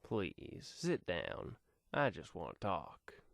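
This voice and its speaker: Superhero audio story villain played by a man: smug male crime boss, slow condescending drawl, quietly threatening, dry sound. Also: deep voice, huge pitch swings, gravelly, dry recording, pitched below the performer's own voice, full character voice. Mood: smooth, businesslike.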